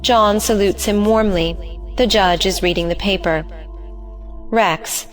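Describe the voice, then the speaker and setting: Female narrator, mid-range pitch, scripted reading, stage directions for an audio play. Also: steady tone, takes it slowly, faint music behind.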